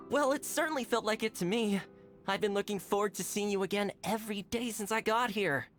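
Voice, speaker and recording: A boyish young retainer from an anime game, as an actor plays the part. The voice is mid-pitched for the performer, upbeat yet wistful, casual and expressive. Faint music sits underneath. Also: lightly acted.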